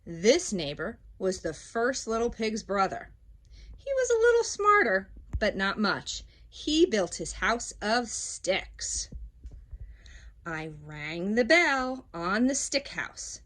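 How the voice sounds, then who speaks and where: Woman voicing a slow, animated storybook wolf. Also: medium pitch. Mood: mock innocent. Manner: dramatic, drawn-out storytelling with sudden swoops and hushes. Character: self-justifying male wolf telling his side, folksy and aggrieved. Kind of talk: children's storybook read-aloud.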